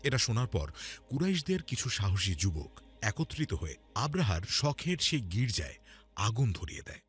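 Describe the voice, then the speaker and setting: A man's voice: lowish voice, big pitch swings, normal speed, a bit bright. A man narrating a scripted religious cartoon story in a dramatic storytelling style. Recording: music under the voice. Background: background music.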